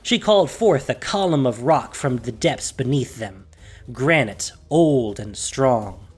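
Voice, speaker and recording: Low-voiced woman narrating with dramatic, swooping emphasis. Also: expressive, measured pace, darkish tone, slightly rough. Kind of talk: dramatic scripted story narration.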